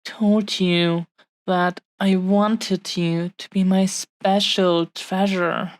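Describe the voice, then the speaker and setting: Woman playing a tender dragon-blooded lover, possessive, adoring, very slow halting delivery, close dry sound, intimate romantic audio roleplay. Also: mid-range voice, level tone, dry recording. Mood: earnest, devoted. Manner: slow, halting, breathy murmurs with lingering pauses.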